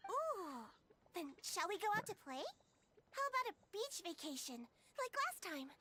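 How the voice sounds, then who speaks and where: A woman's voice: measured pace, heavy background noise, heavily acted. A woman voicing a small, bubbly girlish companion in a video game, eager and chatty. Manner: bubbly, eager, high and animated. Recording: game audio with loud music behind.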